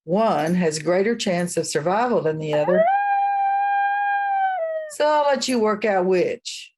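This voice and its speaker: A woman playing a motherly small-town witch high priestess in a radio play, soothing and sweet with gentle menace. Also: animated, unhurried, very noisy. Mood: patient, firm. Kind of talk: radio comedy-drama dialogue.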